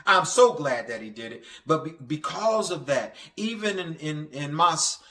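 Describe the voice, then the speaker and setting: In a roomy, echoing space, a man preaches with a bright, forceful voice that sits a little high. He delivers an impassioned motivational sermon, stumbling and stammering at times, and circles back to repeat phrases for emphasis. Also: animated, moderate pace. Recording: echoey, reverberant room sound.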